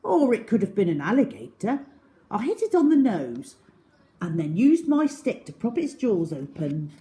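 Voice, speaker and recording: A woman's voice: medium pitch, big pitch swings, moderate pace, mellow, voice pushed up, lightly acted, low-quality recording. A woman voicing a plucky, eager young boy on a storybook adventure. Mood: casual, proud. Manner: urgent, eager, bold.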